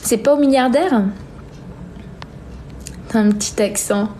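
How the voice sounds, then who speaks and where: A young woman with a soft-edged, mellow voice that sits a little high. She chats informally as a live-stream host, reading comments and answering them with hesitant, trailing phrases. There is faint noise behind her. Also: takes it slowly. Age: young adult. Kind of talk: casual chat, reading and answering viewer comments.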